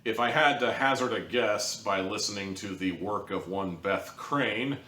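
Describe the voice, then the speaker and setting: A man's voice: lowish voice, measured pace, bright, forceful tone. A man giving a casual review monologue in a rambling, hedging, conversational way. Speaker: audio drama reviewer. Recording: roomy echo, distant from the mic.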